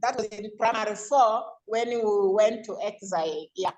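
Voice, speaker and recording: A woman's voice: mid-range voice, normal speed, noisy background. A woman with a Ugandan accent speaking informally as the president chairing an online club meeting. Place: remote video call.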